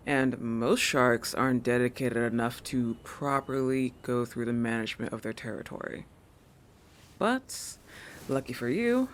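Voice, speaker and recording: A woman's voice: low voice, a little hiss or hum. A woman voicing a proud, possessive young shark girl in a roleplay monologue, with a haughty, teasing manner that keeps correcting itself. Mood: indignant, proud. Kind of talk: roleplay audio monologue addressed to the listener.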